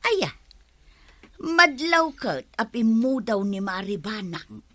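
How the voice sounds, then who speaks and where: An actress playing an excitable gossiping village neighbour in a radio comedy drama, with an Ilocano accent. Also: female voice, a little high, takes it slowly, no echo, exaggerated voice. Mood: gossipy.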